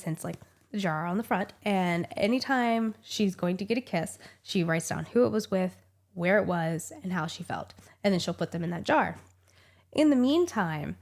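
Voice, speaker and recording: A woman's voice: medium pitch, darkish tone. Woman, 30s, slight cold in the voice; casual, effusive book-vlog host. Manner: chatty and gushing, many "like" fillers. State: small head cold, voice a little off. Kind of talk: casual book review chat.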